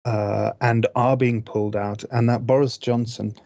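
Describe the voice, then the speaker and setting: A man from Zimbabwe talking as a podcast panelist, explaining things casually in a careful, halting way. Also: mid-range voice, level tone, gravelly, a little mellow, no echo. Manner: measured, careful with wording, halting. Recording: dull, dry close recording.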